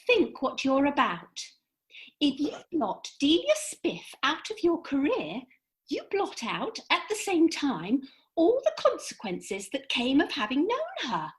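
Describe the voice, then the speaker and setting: A woman plays a lofty fairy in a comic radio play. Her voice is high and swoops dramatically up and down as she lectures in brisk, precise, theatrical phrases. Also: wildly swooping pitch, heavily acted, low-quality recording. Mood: brisk, cautioning.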